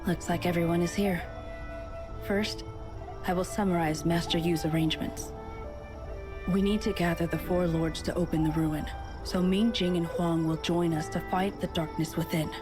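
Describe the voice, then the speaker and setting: A woman's voice: on the low side, steady tone, normal speed, natural voice. A woman playing a composed, stern female commander in a video game, giving formal, decisive briefings. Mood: commanding. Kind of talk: scripted video game dialogue. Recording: music behind the voice.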